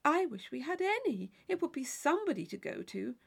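Woman putting on a young gentlewoman, high voice, uneasy, earnest, hushed, well-mannered, classic audiobook character. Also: big pitch swings, a little fast, gravelly, exaggerated voice. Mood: discomfited. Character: polite, uneasy young gentlewoman, ill at ease in society.

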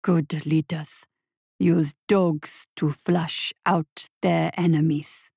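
Woman voicing a slow, deep, menacing tortoise. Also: steady tone, gravelly, darkish tone, husky, no echo, studio quality, full character voice. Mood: commanding, contemptuous. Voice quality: deep, heavy, rumbling. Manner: slow, weighty, authoritative delivery. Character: slow, scornful, menacing mysterious tortoise with heavily accented speech.

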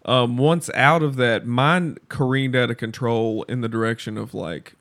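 A man's voice: medium pitch, normal speed, fairly bright tone. A man in his thirties on a podcast, talking casually, bluntly and reflectively, and swearing freely.

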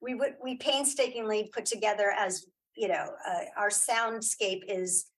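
A woman's voice: mid-range voice, normal speed, fairly bright tone, husky, poor audio. A woman speaking as a guest in a casual interview, chatty and informal, often restarting her sentences. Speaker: interview guest, audio drama creator.

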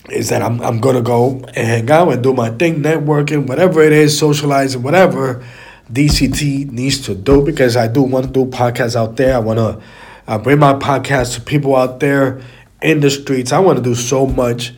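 A man with a raspy, stuffy voice from a cold, talking casually and in a rambling way as a solo podcast host, repeating phrases for emphasis. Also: mid-range voice, a little mellow. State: stuffy, slightly hoarse from a cold.

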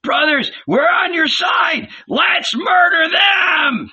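Man doing a wild, shouting pauper. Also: extremely high pitch, animated, takes it slowly, muffled recording, voice pushed up, heavily acted. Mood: wild excitement. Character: ragged male paupers, wild, eager for a fight.

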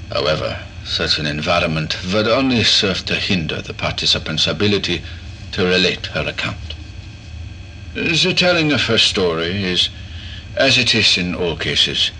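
A cold, eccentric doctor of horror audio drama acted by a man, dictating like a pompous clinician. The voice is low and very sharp-edged, with theatrical swings of pitch, over faint background noise. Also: exaggerated voice, poor audio. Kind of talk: horror audio drama acting, recorded log narration.

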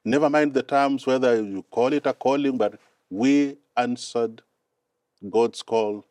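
A man's voice: mid-range voice, a little slow, a little mellow, dry recording. A man from Kenya with a Kenyan accent, speaking as a guest in a conversational interview, earnest and often repeating words as he gathers his thoughts. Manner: earnest, repeats words while finding them. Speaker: guest, mission director.

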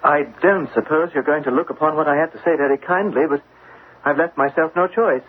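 An actor playing a proud spendthrift young gentleman pleading with his father in a radio drama, earnest and hesitant. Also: fairly high pitch, a little fast, breathy edge. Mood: sheepish. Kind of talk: classic radio drama acting. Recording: tinny, band-limited old radio, faint hiss.

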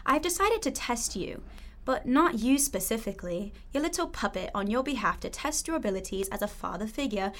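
A woman's voice: fairly high pitch, lightly acted. A woman playing a commanding magic fairy in an audio drama, speaking formally with motherly authority.

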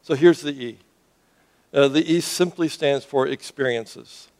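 A man's voice: mid-range voice, measured pace, a little mellow. A man who grew up in Cuba, preaching a sermon to a congregation in an earnest way, often restarting words and asking the audience questions. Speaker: pastor.

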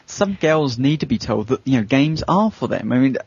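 A man's voice: medium pitch, big pitch swings, normal speed, darkish tone, husky, no room sound. A man talking casually on a discussion panel, hedging as he makes his points. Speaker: show regular. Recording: dull, lo-fi voice recording.

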